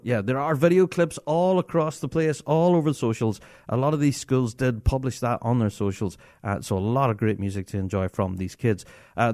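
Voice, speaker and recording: Male podcast host, enthusiastic and laughing, very animated delivery, dry close mic. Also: mid-range voice, no echo. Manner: enthusiastic, chatty, laughs often. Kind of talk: casual solo podcast talk.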